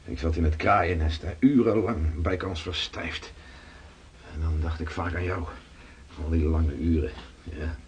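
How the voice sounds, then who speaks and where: An actor playing a hearty seafarer home from an Arctic voyage in a radio drama. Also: male voice, unhurried, highs cut off. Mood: tender, playful. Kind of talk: Dutch radio drama acting. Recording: noisy radio play recording with low rumble.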